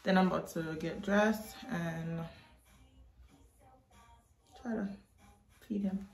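A woman's voice: mid-range voice, fairly even intonation, slow pace, gravelly, over music. A young woman in her twenties, vlogging casually to her phone in a small bathroom at home, talking loosely and informally to her viewers as y'all. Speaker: vlogger, mother. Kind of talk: casual vlog chat to camera. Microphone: phone camera held close.

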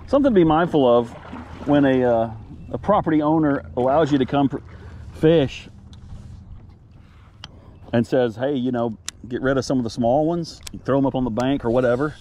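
A man's voice: medium pitch, normal speed, long pauses, warm tone, dull audio, slight background noise. An American man chatting casually and in a folksy way to viewers while fishing from a kayak, recorded outdoors on an action camera. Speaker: outdoor vlogger, angler. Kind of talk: casual fishing vlog chat. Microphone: action camera at chest or head.

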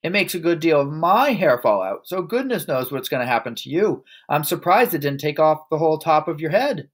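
A shrill, scornful storybook wife and mother of middle age, acted out by a male reader. The pitch leaps high and swoops around as the character scolds and exclaims. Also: sing-song, a little fast.

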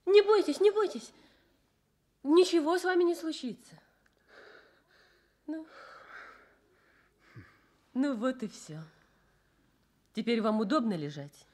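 An actress playing a gentle, brisk young hospital nurse in a Soviet radio drama, soothing and matter-of-fact. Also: female voice, sits high, animated. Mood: soothing, caring.

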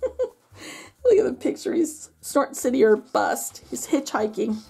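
High-voiced woman reading a children's storybook. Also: big pitch swings, slow, gravelly, darkish tone, husky. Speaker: children's book read-aloud host. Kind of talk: expressive storybook reading with asides to young listeners.

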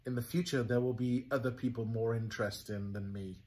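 A man's voice: medium pitch, fairly even intonation, brisk, a little mellow. A man in his forties with a Welsh accent, co-hosting a casual shop vlog with dry, teasing asides. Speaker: co-owner of a yarn shop, co-host. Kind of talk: casual chat. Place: yarn shop, indoors.

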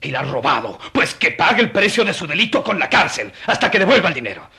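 A man playing a stern, angry, unforgiving merchant father in an audio drama, scornful and domineering, with a raspy voice. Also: fairly high pitch, sing-song, quick pace, sharp tone, heavily acted. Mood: furious.

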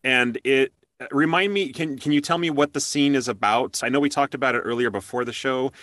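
A man's voice: mid-range voice, fairly quick, fairly bright tone, no echo. A man hosting a casual interview in an enthusiastic, conversational way. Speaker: interview host.

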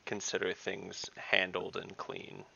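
Deep, very gravelly man thinking aloud. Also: monotone, slow pace, pushed and bright. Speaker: tabletop game player. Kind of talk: casual in-game discussion. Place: indoor room, video call. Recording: dull video call audio.

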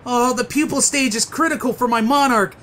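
A man playing a fussy, high-strung young bookworm in a comic book dub, prissy and melodramatic. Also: brisk, fairly bright tone. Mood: panicked.

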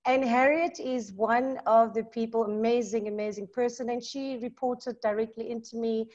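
A woman's voice: a little high, moderate pace. Outgoing South African woman on a video call. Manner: lively, emphatic, outgoing. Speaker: maths tutor and business owner. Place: home study with bookshelves. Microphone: laptop mic on video call. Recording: muffled video call audio.

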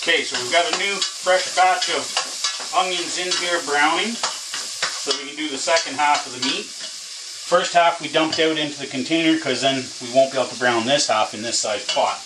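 A man's voice: a little high, moderate pace. A middle-aged man casually talking through a home cooking demo, joking and bantering offhand. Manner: joking, offhand, bickers playfully. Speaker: trucker vlogger cooking at home. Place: small home kitchen. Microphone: camera across the room. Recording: echoey kitchen with cooking noise.

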